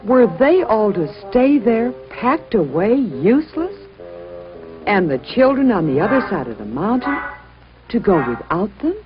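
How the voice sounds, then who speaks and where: A woman narrating a children's story from a script in a dramatic, expressive and emphatic way. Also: a little high, unhurried. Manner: dramatic, emphatic, acts out sound words. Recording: dull lo-fi recording, music behind.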